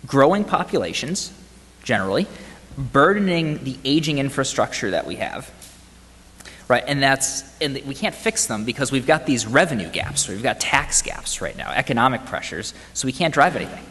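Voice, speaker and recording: A man's voice: medium pitch, sing-song, normal speed, fairly bright tone, slightly rough, long reverb tail. A man with a faded Boston accent gives a conference presentation. He talks in a conversational way, asks the audience questions and often adds "right?". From Boston area, US. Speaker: conference speaker. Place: large conference hall.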